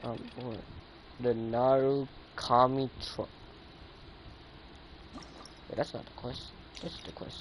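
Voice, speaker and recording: A man streams a game with casual, rambling commentary. His pitch sits in a middle range, and he talks to himself in exclamations. Clear noise runs behind his voice. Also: little pitch movement, slow pace, long pauses. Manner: rambling, self-talking, exclamatory. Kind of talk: casual live gameplay commentary.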